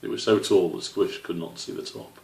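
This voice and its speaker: A man's voice: low voice, steady tone, moderate pace, vocal fry, a little mellow. A man reading a children's story aloud as its narrator. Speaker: author reading own story. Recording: roomy, slightly distant microphone.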